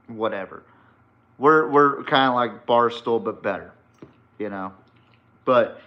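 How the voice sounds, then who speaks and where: A man's voice: mid-range voice, pushed and bright. A man hosting a sports podcast solo, giving casual, opinionated football commentary in an emphatic, blunt way, swearing freely. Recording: dull, lo-fi sound.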